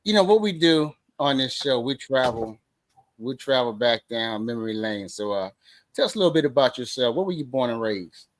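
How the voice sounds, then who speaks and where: A man's voice: mid-range voice, lively intonation, moderate pace, a little hiss or hum. A man hosting an interview show, asking easygoing questions and often repeating his words. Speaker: show host.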